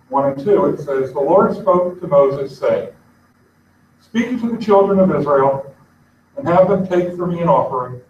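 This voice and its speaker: Man, earnest conversational address, far from the mic, dull lo-fi sound, faint hiss. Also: a little high. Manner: earnest, conversational, pauses to look things up. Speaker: synagogue congregant giving an address. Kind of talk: informal congregational address. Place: synagogue sanctuary. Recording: echoey, distant, dull live stream.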